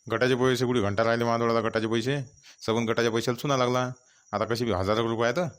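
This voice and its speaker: Man playing a rapid-fire, impatient village gambler. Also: mid-range voice, fairly even intonation, lightly acted. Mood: grumbling. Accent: Varhadi Marathi from Vidarbha. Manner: colloquial, nagging, badgering. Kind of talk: cartoon comedy dialogue.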